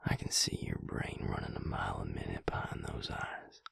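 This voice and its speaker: A man playing a protective, brooding vampire lover in an intimate audio roleplay, with a husky, breathy voice and a hushed, intense, deliberate delivery. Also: in a whisper, close to an everyday voice. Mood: knowing, tense. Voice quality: husky, breathy, gravelly low voice. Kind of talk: intimate audio roleplay acting, speaking to the listener.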